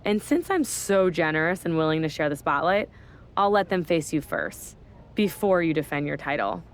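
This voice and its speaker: A smug scheming wrestling boss. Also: female voice, low-pitched, big pitch swings, normal speed. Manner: condescending, sarcastic. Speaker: wrestling general manager. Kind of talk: video game cutscene dialogue.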